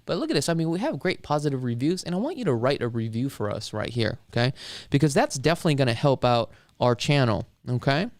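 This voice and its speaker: A man's voice: mid-range voice, big pitch swings, quick pace, few pauses, darkish tone, no echo. A man hosting his own channel as a realtor, asking questions in an easygoing, chatty way. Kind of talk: casual chat.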